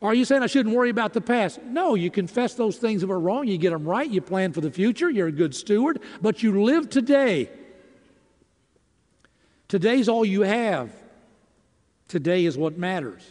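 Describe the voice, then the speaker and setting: A man preaching in a deliberate, emphatic way, breaking his lines into short phrases with dramatic pauses. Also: sits high, very animated, darkish tone. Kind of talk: sermon with emphatic rhetorical questions and scripture. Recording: long reverberant hall.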